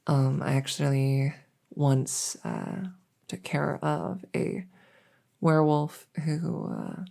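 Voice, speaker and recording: A woman playing a gentle, reassuring veterinarian in a soft-spoken ASMR roleplay, hesitant and soothing as she explains. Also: low voice, fairly even intonation, slow, gravelly, heavily acted. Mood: thoughtful, chatty. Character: gentle, reassuring female veterinarian examining a werewolf patient. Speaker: audio roleplay performer.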